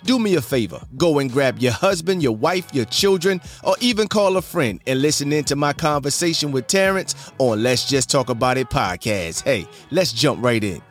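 A man from hosting a casual interview podcast, friendly and quick to laugh. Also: medium pitch, lively intonation, faint music behind. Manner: friendly, laughs often, says "man" a lot. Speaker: podcast host.

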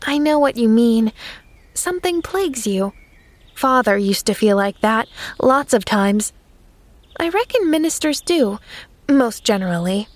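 A woman reading aloud and voicing an eager, kind, chatty young girl with a high, earnest, breathless way of speaking. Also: expressive, unhurried, pauses often, dry recording.

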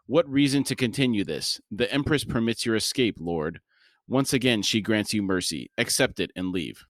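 A man voicing a menacing giant demon lord who speaks in a formal, even-tempered way, as in an audiobook. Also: on the low side, normal speed, dry recording, natural voice. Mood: calm menace.

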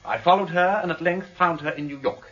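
An actor playing a gallant English gentleman detective with a crisp, courteous, formal upper-class accent in a British radio drama. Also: a little high, fairly quick, lo-fi sound, close to an everyday voice. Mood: formal, resolute.

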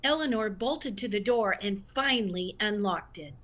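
An actress playing an opinionated storyteller, narrating a scripted audio comedy. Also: female voice, medium pitch, animated, normal speed, fairly bright tone. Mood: wry narration. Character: opinionated woman storyteller narrating old-time radio tale.

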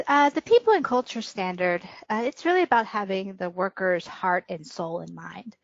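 Woman, mid pitch, corporate podcast guest, explanatory delivery, very dry sound. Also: no room sound, dull audio. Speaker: podcast guest, senior manager. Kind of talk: explanatory business interview.

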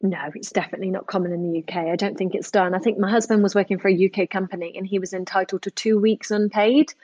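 An Englishwoman in her thirties, a parenting counsellor, chatting in an interview, warm and full of restarts. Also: mid-range voice, fairly even intonation, quick pace, no echo. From England. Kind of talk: casual interview chat.

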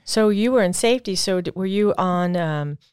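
A woman hosting an interview podcast in a studio, chatty and asking quick follow-up questions. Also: lowish voice, a little mellow, clean tone, dry recording. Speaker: podcast host.

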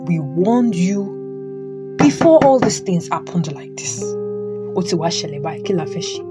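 A woman's voice: on the low side, sing-song, normal speed. A woman playing a stern but supportive elderly father in an audio drama, with a Nigerian Yoruba accent and an emphatic, repetitive, admonishing delivery. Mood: indignant, scolding. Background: guitar music.

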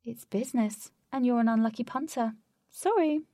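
A woman's voice: fairly high pitch, moderate pace, mellow, no room sound, clean studio sound, pitched above the performer's own voice, lightly acted. Woman doing a curt, smug bookie. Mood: brusque. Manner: curt, dismissive. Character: brusque male bookie, smug and businesslike.

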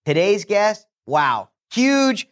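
Man, podcast co-host, high-pitched, hyped, repetitive praise. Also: sing-song, extremely slow. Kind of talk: enthusiastic show hosting.

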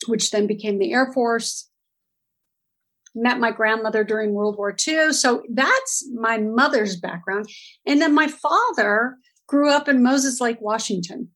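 A middle-aged woman from Southern California talks through her family background as an interview guest. Her voice sits a little high, and she chats loosely, laughing and stumbling over restarts and fillers. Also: measured pace, a bit bright, rough recording. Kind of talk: casual interview storytelling.

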